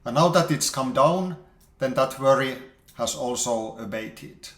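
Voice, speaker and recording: Guy doing a measured market-outlook talk with charts, middle-pitched voice, kinda echoey room. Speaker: investor presenting market outlook. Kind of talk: explanatory presentation with charts. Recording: roomy, echoey sound.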